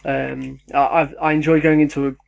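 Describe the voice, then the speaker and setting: A man's voice: fairly high pitch, little pitch movement, moderate pace, creaky. A young man, rambling casually and self-deprecatingly in his home kitchen as a YouTuber. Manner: chatty, sidetracked, self-deprecating.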